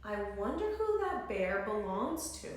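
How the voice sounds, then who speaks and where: A woman's voice: on the high side, sing-song, measured pace. Woman as a kindly young artist, echoey room. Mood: kindly wondering. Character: kindly young male artist, puzzled and admiring. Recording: very echoey room, distant mic, faint noise.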